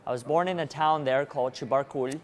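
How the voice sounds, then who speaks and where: A man born in Russia speaks to a live audience in an engaging motivational style, often turning to the crowd with questions. His voice sits at a middle pitch. A faint hiss of room noise runs under it. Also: fairly even intonation, fairly quick. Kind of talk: interactive presentation to a live audience.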